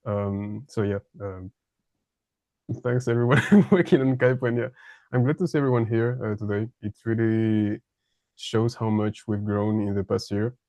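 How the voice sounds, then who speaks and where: A man in his twenties from France introducing himself in a casual video meeting, talking haltingly with many fillers and small chuckles. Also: lowish voice, fairly even intonation, darkish tone, no echo. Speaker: embedded systems engineer introducing himself.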